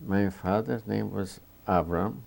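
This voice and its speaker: Older man, 70s, from Romania. Deep gravelly voice, slow, halting oral-history answers. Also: darkish tone. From Bukovina, Romania. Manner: halting, searching for words with many restarts. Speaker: Holocaust survivor interviewee.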